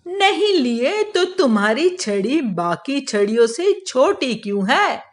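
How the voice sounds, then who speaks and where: A woman voicing a clever, self-assured male royal adviser in a children's moral cartoon, speaking in a measured, explanatory manner. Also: high voice, very animated, heavily acted. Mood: firm, accusing.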